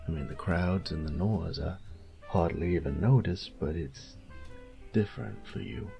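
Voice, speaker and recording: A very deep-voiced boyfriend, played by a male voice actor, doting and playful as he speaks straight to his darling. His delivery is unhurried and drawling, swinging between teasing and tender coaxing in an intimate roleplay, with music softly behind him. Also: gravelly, muffled recording. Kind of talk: intimate scripted audio roleplay addressed to the listener.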